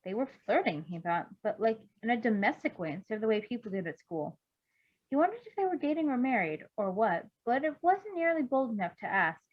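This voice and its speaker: A woman reading a story aloud in an expressive, amateur storytelling style. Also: on the high side, a little fast. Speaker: fan fiction reader. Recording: dull lo-fi recording.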